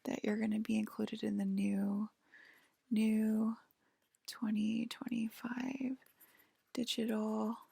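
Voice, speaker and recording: A woman performs a hushed ASMR roleplay in a breathy, whispery voice with very heavy creak. She speaks slowly and haltingly, soothing the listener like a patient clerk. The sound is close and dry. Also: a little high, flat delivery, dry recording.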